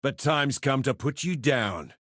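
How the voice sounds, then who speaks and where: An actor playing a brash, gleefully violent male pirate brawler in a video game, taunting with a gravelly, forceful swagger. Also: mid-range voice, lively intonation, measured pace, no echo, studio quality. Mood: menacing. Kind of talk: video game combat barks.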